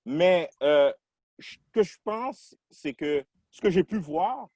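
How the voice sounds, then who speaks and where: A man from Quebec talking through his identity in a casual podcast discussion, reflective and halting, restarting his sentences often. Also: sits high, animated, warm tone, dry recording, clean studio sound.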